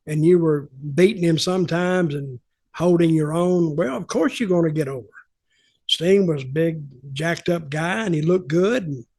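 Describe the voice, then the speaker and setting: An older man telling stories casually on a podcast, blunt and opinionated, repeating points for emphasis. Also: high-pitched. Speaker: podcast host, veteran wrestling booker.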